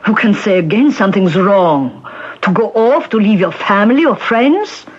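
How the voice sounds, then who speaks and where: An actress playing a kindly, bossy, fretful middle-aged Czech shopkeeper with emphatic, insistent delivery in a 1970s radio drama. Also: female voice, mid-range voice, animated, normal speed, breathy edge. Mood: agitated, pressing. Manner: emphatic, insistent questioning in foreign phrasing. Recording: dull vintage radio broadcast recording.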